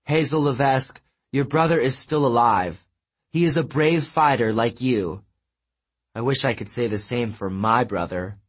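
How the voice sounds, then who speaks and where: A man's voice: medium pitch, normal speed, slightly rough, natural voice. A man voicing a stern, dry-witted huntress goddess with a cool, cutting manner.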